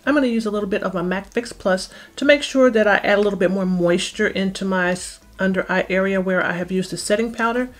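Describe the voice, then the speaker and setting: Middle-aged woman, mid-pitched voice, beauty vlogger, casual step-by-step explaining, faint music underneath. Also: fast talker, few pauses. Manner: explanatory, talks through each step. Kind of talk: makeup tutorial, step-by-step casual narration.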